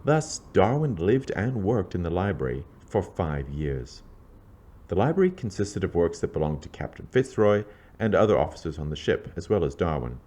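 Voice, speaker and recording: A man with a low, deep voice reads a prepared script as narrator. His delivery is measured and scholarly, like a documentary, with lively rises and falls that mark out each phrase. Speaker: narrator reading an introduction. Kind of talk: scripted narration, read aloud.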